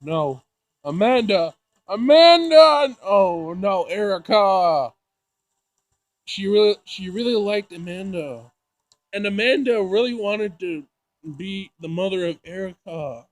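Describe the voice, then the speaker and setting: A man playing through a visual novel, reading it aloud in an emotional, halting way with dramatic outbursts. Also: high-pitched, animated, no echo. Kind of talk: let's play narration with loud reactions.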